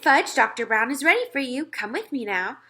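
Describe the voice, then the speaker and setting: A woman's voice: high voice, sing-song, a little fast, sharp tone, pitched above the performer's own voice, heavily acted. A woman voicing a sweet, fussing dental nurse with a sugary, coaxing manner for a children's story. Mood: businesslike.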